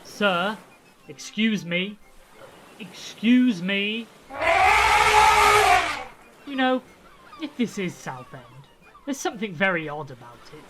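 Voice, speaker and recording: A woman's voice: medium pitch, expressive, takes it slowly, pauses often, noisy background. A woman playing a sceptical, bewildered space traveller with a dry, hesitant, polite manner in a comic sci-fi audio drama.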